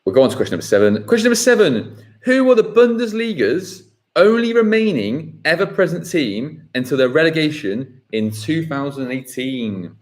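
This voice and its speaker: A man hosting a live football quiz, reading questions slowly and repeating them. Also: a little high, sing-song. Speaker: quiz host.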